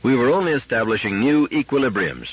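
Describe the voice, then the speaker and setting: A man voicing an exasperated, urgent male space engineer in an audiobook, with a husky, emphatic delivery. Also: medium pitch, big pitch swings, brisk, lo-fi sound. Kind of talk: audiobook character dialogue.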